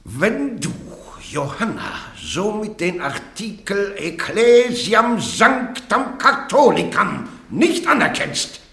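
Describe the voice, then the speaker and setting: A stern inquisitor, speaking slowly with a gravelly edge and wide swings of pitch. He lectures and threatens in solemn tones. The voice rings in a roomy, echoing space. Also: pushed and bright, exaggerated voice. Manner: solemn, admonishing, grows heated. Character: stern churchly prosecutor interrogating a heretic. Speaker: church judge. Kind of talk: 1950s-60s German radio drama.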